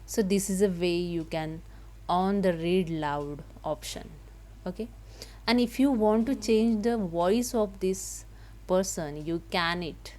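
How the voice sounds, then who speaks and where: A woman giving a step-by-step software tutorial in halting, simple non-native English, with noise behind her. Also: lowish voice. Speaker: tutorial host. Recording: noticeable background noise.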